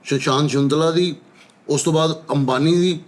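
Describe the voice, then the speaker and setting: A man speaks with a Pakistani Punjabi accent in a fairly high voice. He offers mock explanations hesitantly, as a panelist in comic banter. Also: fairly even intonation, normal speed. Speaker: comedy panelist.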